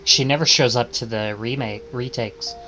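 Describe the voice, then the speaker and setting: A man's voice: medium pitch, fairly even intonation, moderate pace, sharp tone, soft background music, low-quality recording. A man reading visual novel text aloud as a streamer, stumbling and correcting himself, with casual asides.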